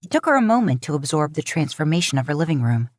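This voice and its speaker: A woman narrating a story from a script in an expressive reading style. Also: low voice, quick pace, no room sound, studio quality. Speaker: podfic narrator, podcast host.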